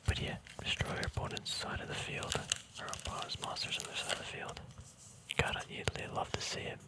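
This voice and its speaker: A man speaking in a soft, unvoiced whisper, relaxed and chatty, hosting a casual ASMR walkthrough. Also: a little fast. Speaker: ASMR video host.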